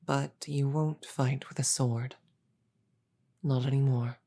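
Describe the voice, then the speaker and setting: A woman acts out a demon queen for an audio drama. She speaks very slowly in a dark, velvety tone, weighing each phrase with pauses. Her manner is regal and grave, wryly amused, and often hushed toward a whisper. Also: rumbling low, steady tone, exaggerated voice. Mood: grave, resolved. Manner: deliberate, pausing, often dropping to a whisper. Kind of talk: scripted audio roleplay, slow dramatic delivery.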